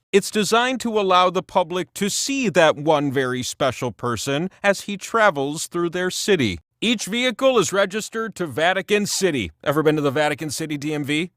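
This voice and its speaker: Theatrical, high-pitched omnipotent game-show wheel. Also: male voice, fairly bright tone, dry recording, clean studio sound. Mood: wry, teasing. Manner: theatrical, chatty, wry asides. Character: boastful omnipotent talking game-show wheel, quirky petty deity. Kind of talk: comedic quiz game narration.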